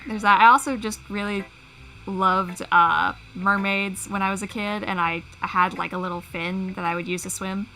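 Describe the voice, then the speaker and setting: Giggly woman with a bright voice. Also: medium pitch, fairly even intonation, normal speed, faint music behind, low-quality recording. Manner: giggly, self-amused. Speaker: livestream panel guest, fan story creator. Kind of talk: casual chat.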